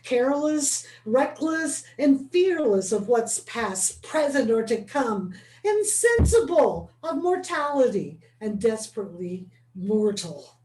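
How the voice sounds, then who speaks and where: A woman playing a dutiful male prison provost in a Shakespeare scene rehearsal, speaking verse in a slow, measured way. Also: on the high side, sing-song, a little mellow. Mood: earnest. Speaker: acting student. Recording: roomy and distant.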